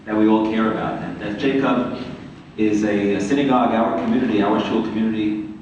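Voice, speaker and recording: A man with a Queens, New York accent introducing speakers at a community event as a rabbi, in somewhat halting, repetitive phrasing. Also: lowish voice, fairly even intonation, a little mellow, dull audio. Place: large reverberant room, community event. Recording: very echoey hall, distant mic, faint noise.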